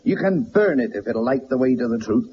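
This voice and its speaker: An actor playing a rich, blustering, domineering middle-aged tycoon in a radio drama, blunt and imperious. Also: male voice, mid-range voice, animated, fairly quick, natural voice. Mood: brash, insistent. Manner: forceful wording, blunt, imperious. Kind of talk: mid-century radio drama acting. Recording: muffled old radio recording, faint hiss.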